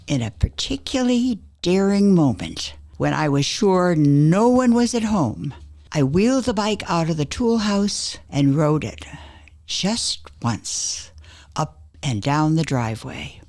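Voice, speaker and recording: A woman's voice: sits low, big pitch swings, slow pace, a little mellow. An older woman reading her own personal essay aloud as a scripted story.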